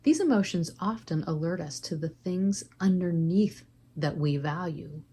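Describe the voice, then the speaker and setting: Low-voiced woman leading a guided meditation. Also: lively intonation, measured pace, warm tone, a little hiss or hum.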